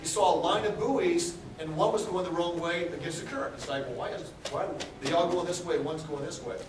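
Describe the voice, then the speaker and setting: A high-voiced man talks casually to a live audience, cracking jokes and asking the crowd questions. He is from Texas. The recording has an echoey hall sound, with the mic set well back from him and steady background noise. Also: brisk, distant mic. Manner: joking, chatty, asks the audience questions. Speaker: aquarium CEO giving a talk. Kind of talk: casual public talk to a crowd. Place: large indoor room with an audience.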